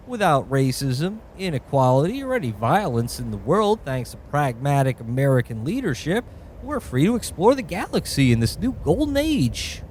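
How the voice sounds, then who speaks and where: A man's voice: fairly high pitch, a little mellow, slight background noise. A man playing an exasperated guardian angel who explains things condescendingly in a radio-play parody. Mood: explaining. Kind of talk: parody of old-time radio drama.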